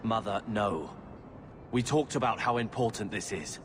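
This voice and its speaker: A man's voice: fairly low pitch, expressive, normal speed, background noise, natural voice. An actor playing a stubborn, sullen young man in a video game, speaking curtly and defiantly. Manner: curt, defiant. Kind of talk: video game cutscene acting. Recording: loud music behind dialogue.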